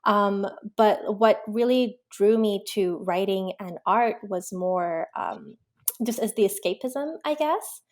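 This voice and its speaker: A young woman from Pakistan, an author and illustrator, answering interview questions in a relaxed, reflective way with many ums and likes. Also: mid-range voice, slow pace. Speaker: guest, children's book author and illustrator.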